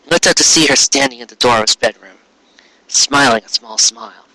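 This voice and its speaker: A man narrating scripted fiction as a storyteller, with an expressive, dramatic and florid delivery. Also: mid-range voice, brisk, poor audio.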